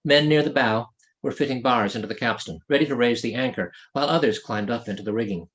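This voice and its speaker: A man narrating a story as an author reading his own novel, in an expressive storytelling delivery. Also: medium pitch, quick pace, rough recording. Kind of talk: scripted audiobook narration.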